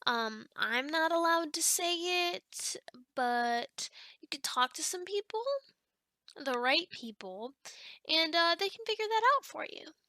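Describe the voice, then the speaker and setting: A woman's voice: very high-pitched, animated, takes it slowly, sharp tone, full character voice. A woman voicing a chatty, teasing female sleep paralysis demon in an ASMR roleplay, talking in a casual, rambling, hesitant way. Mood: guarded, confiding. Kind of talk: ASMR roleplay, one-sided conversation.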